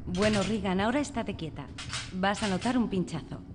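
An actress playing a reassuring clinic doctor in a radio drama. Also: female voice, medium pitch, moderate pace, close to an everyday voice. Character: reassuring female clinic doctor with a child patient. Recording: reverberant, clearly noisy background.

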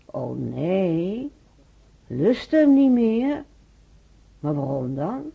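An actress playing a nosy, needling woman patient with a husky voice and persistent questions in a radio play. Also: lowish voice, very animated, very slow, exaggerated voice. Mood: inquisitive. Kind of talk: naturalistic radio play acting. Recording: muffled radio play recording.

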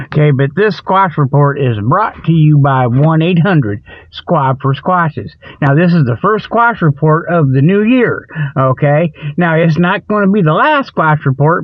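Guy doing a high voiced folksy radio host, rambles and repeats himself, mock report, dull lo-fi sound. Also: no echo, close to an everyday voice. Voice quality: folksy colloquial speech. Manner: rambling, repetitive, chuckles at own jokes. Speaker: comedy radio show host. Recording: dull lo-fi audio.

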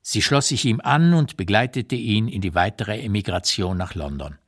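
An actor reading as an elderly male writer who looks back with unsparing candour, in a deliberate, measured literary narration for radio. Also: on the low side, husky. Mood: reflective, candid.

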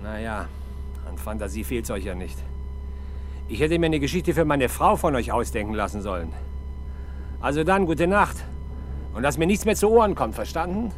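An actor playing a world-weary but kind-hearted middle-aged police inspector in a radio crime drama, grumbling with dry irony and giving orders. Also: male voice, medium pitch, expressive, normal speed, pauses often, a little hiss or hum, natural voice. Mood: gruffly kind. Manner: grumbling, dryly ironic, commanding. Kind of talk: 1970s German radio crime drama acting.

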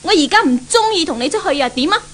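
A woman's voice: extremely high pitch, expressive, quick pace, fairly bright tone. An actress playing a spoiled, willful young woman in a 1970s radio drama, haughty and mocking, with a Hong Kong accent. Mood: scornful, petulant. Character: spoiled, willful, thrill-seeking young woman, doted-on only daughter.

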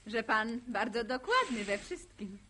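An actress playing a polite, composed village schoolteacher in a radio drama, speaking courteously with gentle, educated diction. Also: female voice, fairly high pitch, animated, a little slow, a little hiss or hum. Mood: friendly, teasing. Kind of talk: naturalistic radio drama acting.

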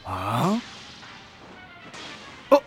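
A man playing a loud, hot-blooded, easily spooked high school volleyball boy in an anime audio drama, with blustering, rough slang. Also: wildly swooping pitch, very slow, faint music behind, heavily acted.

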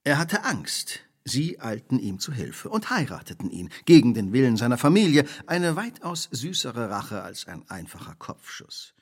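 An actor playing a shrewd, relentless detective in a radio drama, speaking precisely, formally and theatrically. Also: male voice, mid-range voice, very animated, normal speed, few pauses, husky. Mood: accusing, assured. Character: shrewd, relentless male detective laying out a crime.

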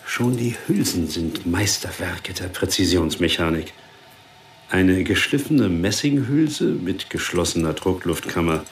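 Crime radio play ballistics man: matter-of-fact, explanatory, low voice, roomy and slightly distant. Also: expressive, measured pace. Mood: dryly expert. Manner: precise, explanatory. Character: expert male ballistics examiner, precise and matter-of-fact. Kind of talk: radio crime drama dialogue. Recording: echoey room, off the mic.

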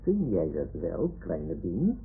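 Radio play creature: self-important toy sea lion, ponderous, very hoarse-edged, wide swings of pitch. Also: male voice, wildly swooping pitch, slow, heavily acted. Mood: drowsy. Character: slow, drowsy, proud old-fashioned toy sea lion. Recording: tinny old radio drama recording.

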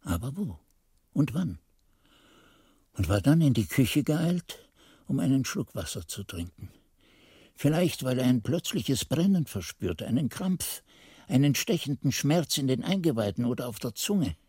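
Slow, sweeping confessional monk narrator. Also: male voice, medium pitch, husky, no room sound, studio quality. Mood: measured, explanatory. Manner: expressive, solemn, literary delivery. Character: monk recalling his youth, confessional and ardent. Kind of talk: scripted literary radio drama narration. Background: music.

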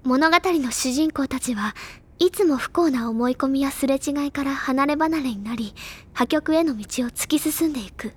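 A woman playing a wise, bookish older schoolgirl who speaks in a measured, solemn, literary storytelling manner. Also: sits high, natural voice. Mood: calm, solemn. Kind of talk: dramatic storytelling monologue. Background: music.

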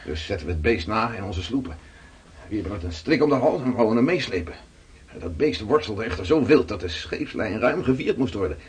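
An actor playing a Dutch sailor slowly recounting his voyage in a radio play. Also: lowish voice, lively intonation, husky. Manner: slow, vivid recounting. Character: weathered Dutch sailor telling voyage tales.

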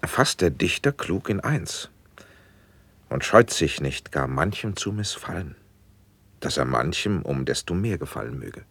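A gracious prince and patron in a classic radio verse drama. The voice is extremely deep and creaky, in a very dry recording. The delivery is stately, formal and measured. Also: male voice, big pitch swings, fairly bright tone, dry recording, exaggerated voice. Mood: gently advising. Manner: formal, stately, deliberate declamation.

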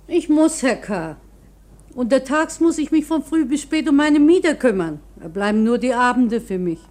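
A woman's voice: high voice, expressive, normal speed, a little mellow. A woman playing a humble, motherly older landlady in a radio drama, hesitant, deferential and soothing. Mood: deferential, reassuring. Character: humble, motherly old landlady, simple and fretful.

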